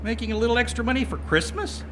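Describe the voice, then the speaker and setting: An actor playing a breezy, naive small-town newspaper reporter with a folksy manner in a comic audio drama. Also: male voice, very high-pitched, animated, fast. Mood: chatty. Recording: noticeable background noise.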